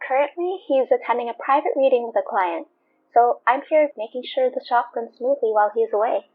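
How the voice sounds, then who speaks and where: An actress playing a polite, chatty young shop assistant with a wry streak in an audio drama. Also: female voice, fairly high pitch, animated, brisk. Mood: helpful, friendly. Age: young adult. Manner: courteous, helpful, wry. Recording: muffled sound with music behind.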